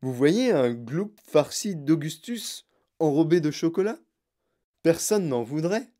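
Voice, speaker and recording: An eccentric, theatrical chocolate maker, acted out by a woman reading aloud waves away every worry. He talks in an airy, emphatic way with lively swings of pitch. The voice sits a touch raised over a warm, mellow tone. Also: measured pace. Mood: breezy.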